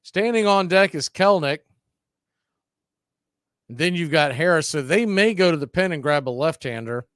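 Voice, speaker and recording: A man calling baseball play-by-play for a fan radio stream, mixing pitch calls with blunt opinionated asides. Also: lively intonation, moderate pace, pauses often, a bit bright, smooth, no room sound, studio quality.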